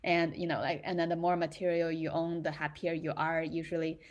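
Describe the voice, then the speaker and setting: A woman's voice: deep voice, level tone, a little fast, dull audio. A young woman from China, talking reflectively and casually, with many fillers and restarts as she thinks aloud. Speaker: creator in residency.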